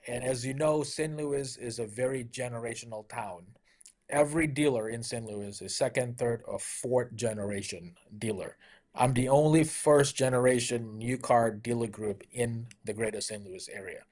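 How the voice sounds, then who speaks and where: A man's voice: medium pitch, moderate pace, darkish tone. Middle-aged man from Malaysia, dealership owner as interview guest; upbeat, anecdotal delivery. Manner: anecdotal, upbeat, frequent "you know" fillers. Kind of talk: conversational interview storytelling.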